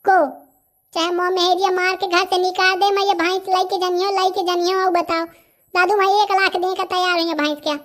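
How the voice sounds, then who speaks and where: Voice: very high voice, flat delivery, fairly quick, a bit bright. An actor with a Bundelkhandi accent voicing a chatty, stubborn young villager in a comedy cartoon. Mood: stubborn, bargaining.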